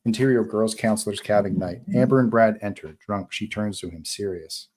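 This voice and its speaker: A man reading screenplay action aloud as narrator of a live table read, in an informal, animated way. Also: lowish voice, normal speed, poor audio.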